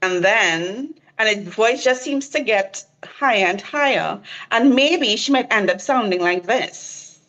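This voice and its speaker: A woman's voice: mid-range voice, animated, measured pace, few pauses, fairly bright tone, poor audio. A woman with a Trinidadian accent, chatting as a guest and explaining things with enthusiasm. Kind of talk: casual conversation.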